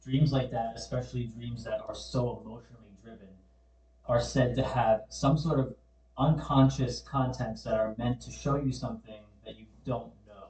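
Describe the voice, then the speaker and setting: Calm explaining man, mellow, echoey. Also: medium pitch, moderate pace. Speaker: podcast co-host. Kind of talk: casual podcast chat. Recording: echoey room.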